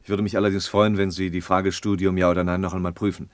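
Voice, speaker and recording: An actor in a German radio play playing a reserved professional husband, terse, courteous and formal. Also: male voice, deep voice, little pitch movement, fast talker, dry recording, lightly acted.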